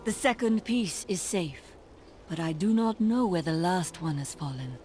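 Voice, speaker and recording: An actress playing a cool, grave necromancer hero in a video game, with measured and formal delivery. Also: female voice, fairly low pitch, expressive, mellow, soft background music.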